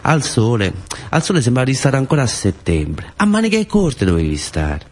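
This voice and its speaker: A man's voice: mid-range voice, big pitch swings, normal speed, slight background noise. A man with a southern Italian accent narrating a colloquial theatrical monologue, with conversational asides and repetitions. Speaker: storyteller and stage actor.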